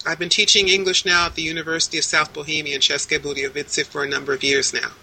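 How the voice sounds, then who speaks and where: A woman in her sixties speaks over a video call, her voice very bright and sharp-edged. She answers in a measured, articulate way, stringing details into long lists. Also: low voice, flat delivery, creaky, a little hiss or hum, low-quality recording. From United States. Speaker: interviewee. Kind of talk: casual interview answers.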